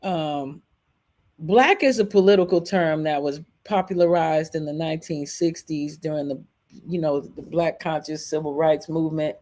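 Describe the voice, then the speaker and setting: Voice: mid-range voice, moderate pace, darkish tone. An American live stream host giving a casual, opinionated talk on history, speaking emphatically and conversationally with blunt swearing. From United States.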